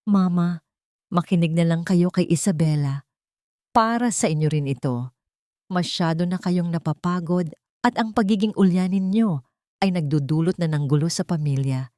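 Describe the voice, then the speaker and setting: A woman's voice: on the low side, normal speed, mellow, dry recording, clean studio sound, lightly acted. A woman voicing a hard, impatient adult son who speaks in a firm, dismissive way in an audio drama.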